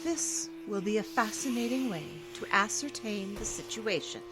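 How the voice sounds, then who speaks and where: A woman's voice: medium pitch, animated, normal speed, loud music behind the voice, lightly acted. A woman playing a scornful, mysterious interrogator in a horror audio drama, articulate and condescending. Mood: sinister amusement.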